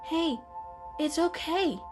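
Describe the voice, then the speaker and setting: A woman's voice: very high voice, takes it slowly, over music, pitched above the performer's own voice. A woman voicing a friendly, eager young male kitten in a storybook reading, polite and reassuring. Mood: calm, reassuring.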